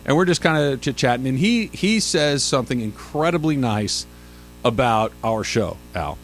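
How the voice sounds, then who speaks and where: A man in his fifties co-hosting a sports radio show, telling stories in a lively, self-mocking way. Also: mid-range voice, normal speed, faint music behind. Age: 50s. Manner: animated storyteller, self-mocking. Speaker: radio host. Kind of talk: casual sports radio banter.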